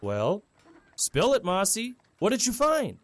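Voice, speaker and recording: A man plays a kindly, folksy town mayor. His pitch moves up and down a great deal, and his manner is informal and reassuring, as in an audio drama. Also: wildly swooping pitch, slow, dry recording, exaggerated voice. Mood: eager, informal.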